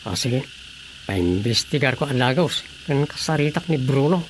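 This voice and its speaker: An actor playing an officious, assertive village councilor in an Ilocano radio drama comedy. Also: male voice, mid-range voice, expressive, normal speed, a little hiss or hum, natural voice. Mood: determined. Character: officious male village councilor, determined and meddling.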